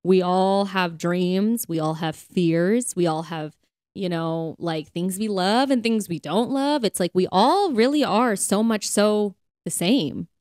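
A woman's voice: medium pitch, slow pace, darkish tone, no echo, clean studio sound. A woman co-hosting a faith podcast, speaking in an earnest, conversational way. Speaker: podcast co-host. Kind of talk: casual faith podcast talk.

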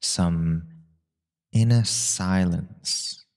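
A man leading a guided meditation, giving calm spoken instructions in short, unhurried phrases with long pauses. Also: low voice.

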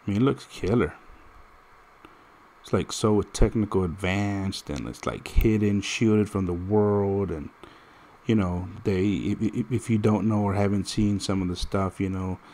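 Gravelly low-voiced man rambling over gameplay. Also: unhurried, warm tone. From United States. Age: 30s. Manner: rambling, direct, storytelling with restarts. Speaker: mobile game streamer. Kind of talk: casual gameplay commentary.

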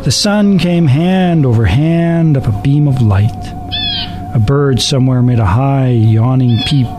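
A man's voice: mid-range voice, a little slow. A man narrating a radio play as scripted, dramatic storytelling. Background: background music.

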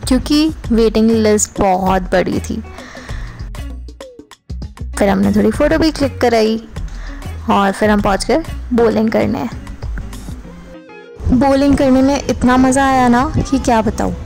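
A woman's voice: on the high side, a little slow, creaky, long pauses, a little mellow. A young woman narrating a travel vlog in a casual, chatty way, often addressing viewers directly. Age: young adult. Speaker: vlogger. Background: background music.

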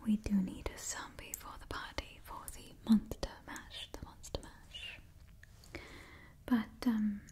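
Whispering young woman, slow and hushed. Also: fairly high pitch. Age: 20s. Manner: repeats short soothing phrases. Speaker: ASMR roleplay performer. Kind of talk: whispered ASMR roleplay. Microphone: close microphone.

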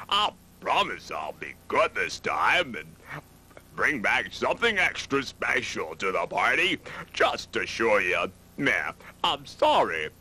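Raspy, drawling swamp alligator. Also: male voice, mid-range voice, pushed and bright, full character voice, rough recording. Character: sleepy, greedy, sheepish male swamp alligator puppet. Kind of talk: puppet show character acting.

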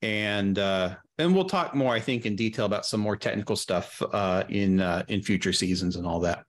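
Halting middle-aged man in casual interview chat. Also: lowish voice. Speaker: guest, audio drama creator. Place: small room on a video call.

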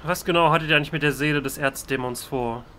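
Bright-voiced man role-playing, fairly high pitch. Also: fast, a little hiss or hum. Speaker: let's player role-playing his game hero. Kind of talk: role-played game dialogue.

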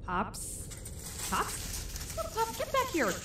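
A woman's voice: extremely high pitch, wildly swooping pitch, extremely slow, echoey room, background noise, full character voice. An actress playing a determined, upset young woman searching for her dog in an audio drama. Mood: calling out.

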